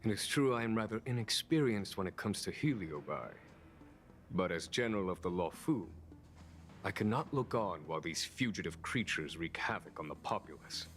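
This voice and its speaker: A man playing a calm, unhurried general in a video game, speaking formally and with measured, wry authority. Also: low-pitched. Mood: courteous, resolute. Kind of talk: scripted video game voice acting.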